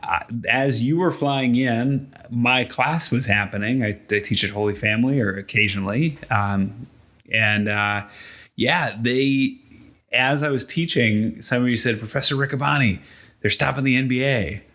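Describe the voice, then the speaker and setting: A man's voice: medium pitch, normal speed, bright, forceful tone. Male podcast host, mid-range voice. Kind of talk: casual chat.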